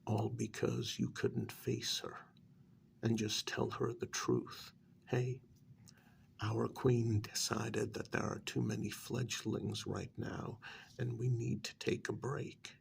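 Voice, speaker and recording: Male vampire mediator, acted by a man, ASMR role-play: reproachful, breathy and half-whispered, heavy creak, faint hiss behind. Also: fairly low pitch, level tone, heavily acted. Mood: exasperated, chiding. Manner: measured, halting, chiding.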